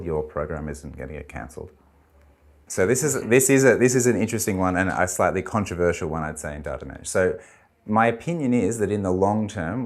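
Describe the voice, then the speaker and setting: An Australian man, a technology head, explaining ideas in a measured, thoughtful way during a conference interview. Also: low-pitched.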